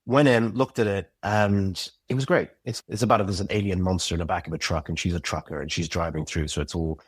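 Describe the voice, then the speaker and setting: A man's voice: low-pitched, fairly even intonation, fast, slightly rough, no echo. A man talking as a podcast guest about creating an audio drama, thoughtful and earnest. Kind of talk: reflective interview talk.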